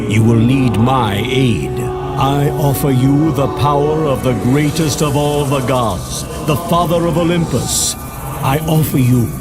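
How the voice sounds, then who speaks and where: A man as a grand slow king of gods. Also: mid-range voice, close to an everyday voice. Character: mighty king of the gods, stern. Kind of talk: video game cutscene acting. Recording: loud orchestral music behind.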